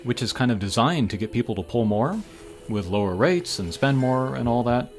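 A man's voice: medium pitch, lively intonation, normal speed. A man hosting a gaming channel, giving casual, hesitant commentary as he thinks aloud over mobile game pulls. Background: game music.

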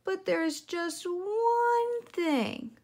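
Woman voicing a high-pitched, wheedling little piglet. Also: extremely slow, darkish tone, full character voice. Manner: sighing, coaxing, mock-innocent. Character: small sly male piglet, pleading and politely wheedling. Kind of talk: children's storybook read-aloud.

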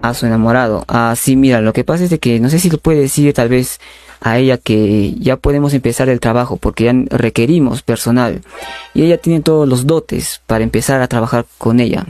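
A young man making a prank phone call as a polite, insistent recruiter, halting and repeating his words. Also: medium pitch, darkish tone, soft background music. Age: young adult. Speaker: prank caller. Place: small bedroom studio indoors. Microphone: condenser microphone on a boom arm, close.

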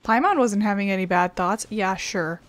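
A woman's voice: medium pitch, moderate pace. A woman giving casual, rambling, wry commentary as she plays a video game. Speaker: gaming streamer. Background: game music.

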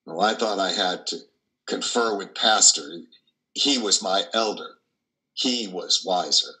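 A man performs an elderly priest in a stage drama, troubled and philosophical. His voice is low but very bright and forceful, with deliberate, theatrical, musing phrasing. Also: full character voice, low-quality recording. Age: 60s or older. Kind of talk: dramatic monologue in play reading. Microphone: video call, close to camera.

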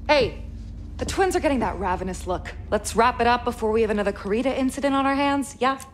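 An actress playing a breezy, wisecracking rebel crew member in a video game, casual and playful, over loud ambient noise. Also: female voice, a little high, quick pace, lightly acted. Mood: teasing. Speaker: game voice actor. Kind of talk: game cutscene acting.

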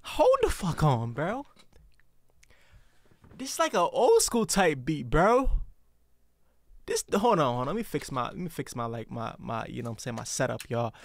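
A young man streaming from his home setup, his voice high and bouncing all over the place. He rambles excitedly, packing "bro" into nearly every phrase, close on a dry-sounding mic with a song playing faintly behind him. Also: normal speed, pauses often, no echo. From US East Coast. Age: young adult. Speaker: music reaction streamer. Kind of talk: casual reaction commentary. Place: home streaming room, indoors. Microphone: headset with desk mic close up.

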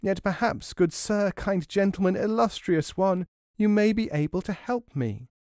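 A man's voice: high voice, unhurried, a little mellow, no room sound, clean studio sound, voice pushed up. Storybook crone, old and scheming, screechy and fawning, voiced by a man. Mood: wheedling. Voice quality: screechy, wheedling. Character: cunning cackling old crone.

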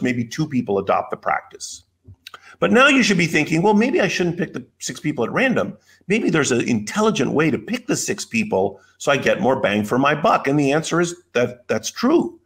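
An older man giving a keynote lecture over slides from a home office on a video call, measured and explanatory, with some fillers and restarts. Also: fairly high pitch, a bit bright. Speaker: keynote speaker. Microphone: video call webcam.

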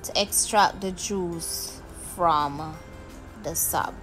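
A woman's voice: fairly low pitch, very slow, over music, rough recording. Chatty woman teaching a recipe. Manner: chatty, repeats "okay, guys" and "right?". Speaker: cooking show host. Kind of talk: cooking tutorial narration.

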